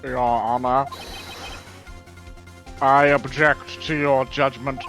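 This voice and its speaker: A man's voice: mid-range voice, level tone, fairly bright tone, faint music behind. A man playing a grave, guilt-ridden male lawyer with a formal, brooding manner in a live fan dub of a game. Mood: grave insistence. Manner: deliberate, brooding.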